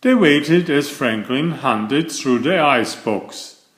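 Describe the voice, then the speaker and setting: A man's voice: on the high side, animated, slow pace, a bit bright. A man reading a children's bedtime story as a scripted narrator, recorded in an echoey room.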